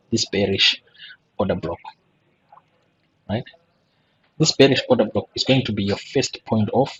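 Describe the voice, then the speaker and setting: A man with a hoarse, husky voice teaching a forex trading lesson over a chart, checking in with "right?" and asking rhetorical questions. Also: fairly low pitch, lo-fi sound. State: voice still hoarse after a throat infection. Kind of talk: screen-share chart walkthrough lesson.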